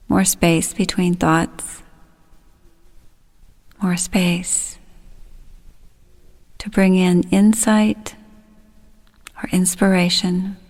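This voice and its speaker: A woman leading a guided relaxation, speaking soothingly and gently in short, unhurried phrases. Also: on the low side.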